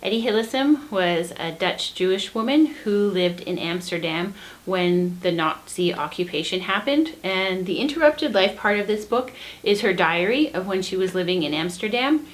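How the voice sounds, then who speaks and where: A woman co-hosting a book review, chatting casually and earnestly with emphatic enthusiasm. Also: on the low side. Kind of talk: casual book recommendation chat.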